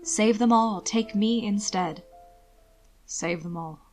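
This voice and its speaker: A woman's voice: medium pitch, takes it slowly, a little mellow, soft background music, lightly acted. A woman voicing a bored, taciturn immortal young man in a story, murmuring his curt lines. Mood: brooding. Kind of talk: audiobook character acting.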